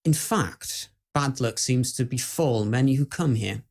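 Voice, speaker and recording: A man acts as a British presenter in a haunted house for an audio ghost story. His delivery is suspenseful and uneasy, in documentary style, with a medium pitch. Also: unhurried, gravelly, darkish tone.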